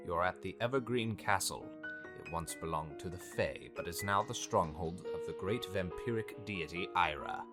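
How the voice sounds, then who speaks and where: A man playing a grave, formal high cleric and mentor in a dark fantasy visual novel, with measured delivery. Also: natural voice. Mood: stern, guiding. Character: male vampire high cleric, grave mentor, deferential servant. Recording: music behind the voice.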